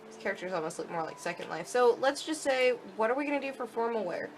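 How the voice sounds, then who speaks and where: A woman's voice: fairly high pitch, animated, quick pace, slight background noise. A woman giving a chatty, informal live walkthrough commentary of a video game, explaining as she goes. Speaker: video game demo presenter.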